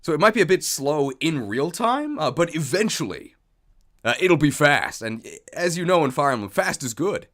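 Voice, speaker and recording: A man's voice: fairly high pitch, big pitch swings, moderate pace, fairly bright tone. A man hosting a livestream, chatting casually and thinking aloud in a jokey, self-deprecating way. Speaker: streamer, video host. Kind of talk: casual livestream chat while setting up.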